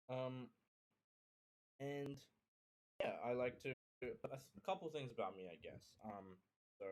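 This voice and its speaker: Young guy early 20s, lowish voice, rambling casual stream chat, keeps restarting his sentences. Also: darkish tone, low-quality recording. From Sri Lanka, then Kuwait and Australia. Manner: hesitant, rambling, often repeats and restarts phrases. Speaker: streamer introducing himself.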